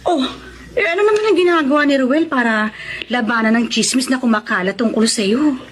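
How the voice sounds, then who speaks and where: A woman's voice: sits high, a little fast, natural voice. A woman acting a nosy, skeptical older-sister figure in a Tagalog radio drama, sassy and questioning.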